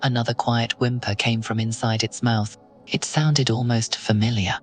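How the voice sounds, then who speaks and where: A narrator telling a horror story in second person, slow and ominous. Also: mid-range voice, fairly even intonation, soft background music.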